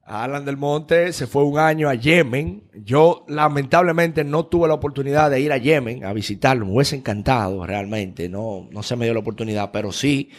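A man's voice: mid-range voice, normal speed, few pauses. A young adult man with a Dominican accent, telling stories conversationally as an interview guest. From Dominican Republic. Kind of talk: casual conversational storytelling.

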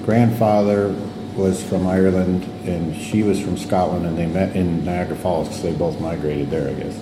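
A man's voice: deep voice, steady tone, moderate pace, background noise. A man hosting a podcast and interviewing a guest, talking casually with many fillers. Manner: laid-back, lots of fillers, asks short follow-up questions. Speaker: podcast host and sign painter. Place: bar.

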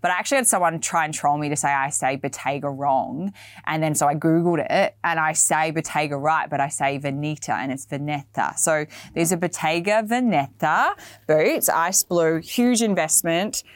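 Chatty low-voiced Australian woman. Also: normal speed, no room sound, studio quality. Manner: chatty, enthusiastic, lots of likes and ums. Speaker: fashion podcast host. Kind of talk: casual product walkthrough.

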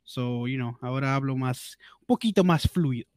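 A man's voice: medium pitch, moderate pace, a little mellow, no echo, studio quality. A man chatting casually as a podcast guest, slangy and jokey, peppering his Spanish with English fillers. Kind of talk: casual bilingual chat.

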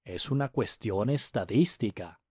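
A man's voice: fairly low pitch, lively intonation, unhurried, a little mellow, breathy edge, dry recording, clean studio sound. A man voicing a polite, thoughtful male museum visitor in a storybook reading. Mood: musing.

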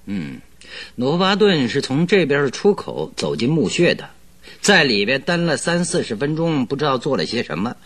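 Radio drama casting: composed sleuth, dry amusement, concise questioning, animated pitch movement in a medium voice. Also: male voice, normal speed. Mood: cool, inquisitive. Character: composed, probing, dryly amused male detective.

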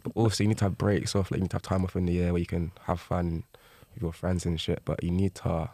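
A man's voice: sits low, flat delivery, fast, warm tone, no room sound. An eighteen-year-old man, a podcast guest, talking in laid-back, blunt, slangy casual chat.

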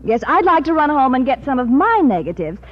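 An actress playing a vain, haughty, well-to-do teacher in an old radio comedy, sugary, affected and cutting. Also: female voice, high voice, very animated, brisk. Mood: coolly scheming. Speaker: supporting actress in a radio sitcom. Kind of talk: 1940s-50s American radio comedy acting. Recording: muffled old-time radio recording.

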